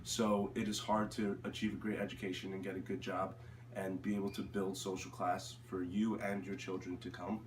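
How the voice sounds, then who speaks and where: A young man with an American accent answering interview questions informally, haltingly, often searching for his words. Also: low-pitched, little pitch movement. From United States. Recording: roomy, distant mic, faint noise.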